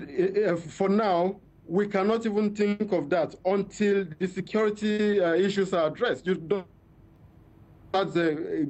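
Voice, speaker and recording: A man's voice: sits high, a little mellow. A man with a Nigerian accent, a journalist explaining in a live news interview, often repeating words as he searches for phrasing. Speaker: journalist reporting by phone. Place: remote phone call.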